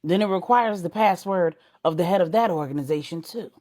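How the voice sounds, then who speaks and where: Woman as male general, lowered pitch, calm, measured, loyal subordinate, explanatory, audiobook dialogue. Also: natural voice.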